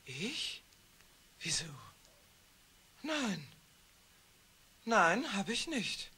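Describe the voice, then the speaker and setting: A panicky scheming wife in a radio play, slow breathy shrill voice, pitch all over. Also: female voice, big pitch swings, sharp tone, full character voice. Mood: defensive. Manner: nervous, shrill, pleading. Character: scheming middle-aged wife Lydia, panicky.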